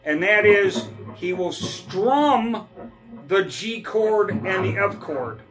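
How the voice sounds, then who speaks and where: A middle-aged man giving a relaxed, casual guitar lesson, often checking in with "all right?" and "okay?". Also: high-pitched, slow, poor audio. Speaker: guitar teacher. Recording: faint guitar playing between phrases.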